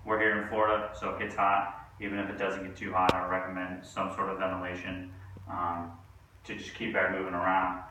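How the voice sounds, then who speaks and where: A young man giving a casual, relaxed tour of his home garage gym, filling pauses with ums and uhs. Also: low-pitched, over music. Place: two-car home garage gym, indoors. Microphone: camera mic from a few meters away. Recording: echoey garage, distant from mic.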